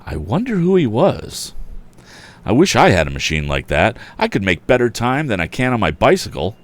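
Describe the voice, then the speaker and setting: A man's voice: medium pitch, wildly swooping pitch, moderate pace. A man voicing an eager, good-natured young lad in a storybook, earnest and folksy. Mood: musing, wistful.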